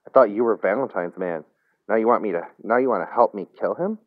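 Guy reading an angry scornful girl grown up rough, snappy n mocking, audiobook, tinny dry sound. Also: lowish voice, quick pace, no echo, close to an everyday voice. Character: bitter, scarred, angry young woman bent on revenge.

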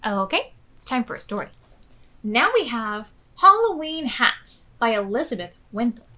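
An American woman in her thirties hosting storytime, reading aloud expressively and clearly to young children. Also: fairly high pitch, big pitch swings, moderate pace, pushed and bright. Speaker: children's storytime host at a public library. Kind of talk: read-aloud storytelling for preschoolers. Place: small furnished room indoors.

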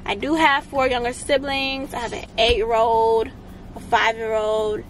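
A woman's voice: a little high, little pitch movement, unhurried. An eighteen-year-old woman vlogging in a casual, slangy, rambling way as she talks to the camera. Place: inside a moving car. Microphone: camera mounted in front, in car. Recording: loud car and road noise.

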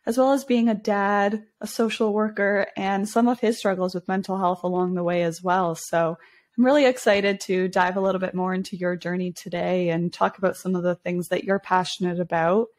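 A woman's voice: mid-range voice, a little mellow. A young woman hosting a podcast interview over a video call, upbeat and friendly as she asks questions. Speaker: podcast host. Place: home room. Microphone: headset with video call.

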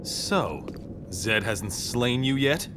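An actor playing a proud, brooding young warrior bent on revenge in a video game, formal and intense. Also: male voice, mid-range voice, lively intonation, drawn-out words, background noise. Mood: curt. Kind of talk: dramatic video game voice acting. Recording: music under the voice.

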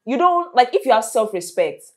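A woman's voice: on the high side, big pitch swings, normal speed, over a noisy crowd. A young woman making her point insistently in a casual group debate. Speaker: panelist on a talk show. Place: small hair salon, indoors.

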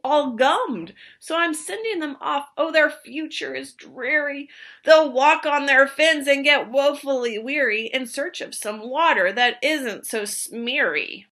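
Querulous old male forest creature, bright, nagging and coughing, as a woman reading aloud puts him on. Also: high-pitched.

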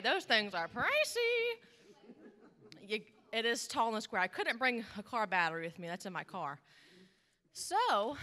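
A young woman giving a children's message in a church sanctuary, animated and encouraging, asking questions into a handheld microphone. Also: fairly high pitch. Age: young adult. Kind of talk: children's sermon, interactive talk. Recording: reverberant church hall, live PA.

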